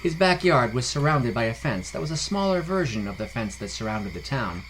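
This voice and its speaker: A man's voice: medium pitch, very animated, fairly quick, a bit bright, rough recording. Male fantasy narrator over background noise. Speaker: podcast narrator. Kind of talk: scripted fantasy story narration.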